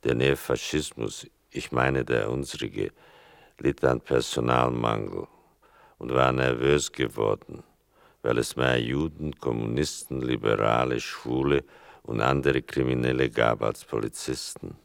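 Very deep, creaky-voiced male narrator. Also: steady tone, dry recording, studio quality. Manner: measured, wry, deliberate. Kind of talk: literary scripted narration.

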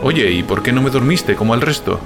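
An actor in an audio drama playing a weary, questioning brother. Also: male voice, mid-range voice, animated, a little fast, close to an everyday voice. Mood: anxious, questioning. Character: Rubén, weary questioning brother seeking answers. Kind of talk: Spanish audio fiction drama acting. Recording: very loud music and rain behind.

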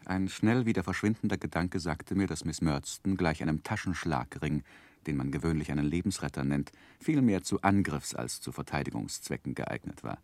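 An actor playing an earnest, romantic gentleman narrating his own youth in measured, literary for a 1960s radio drama. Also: very deep voice, no echo. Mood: wry, reflective. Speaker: narrator and main character. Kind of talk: dramatic first-person narration, 1960s German radio play.

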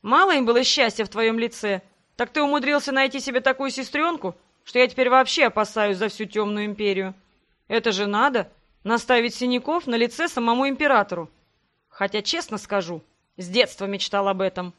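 A woman's voice: a little high, brisk, a bit bright, close to an everyday voice. A woman voicing a venomously sarcastic young nobleman in a fantasy audiobook, with mocking, teasing irony. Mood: gleeful mockery.

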